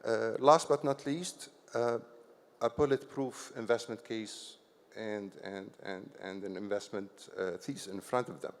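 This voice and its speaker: Panel speaker, man, lowish voice, halting delivery, long hall reverb. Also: fairly even intonation. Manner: hesitant, many fillers and restarts, says "okay" often. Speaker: investment company chief executive. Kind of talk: panel discussion answer. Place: conference hall on stage.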